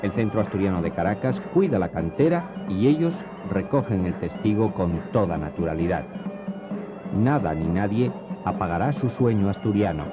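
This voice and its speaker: Deep-voiced man narrating a TV report. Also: animated, normal speed. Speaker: documentary narrator. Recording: dull old TV audio under music.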